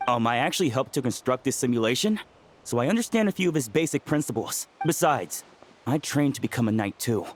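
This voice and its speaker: A man's voice: mid-range voice, animated, brisk, slight background noise, lightly acted. An actor playing an earnest, modest young male trainee medic, polite and hesitant, in an anime-style game.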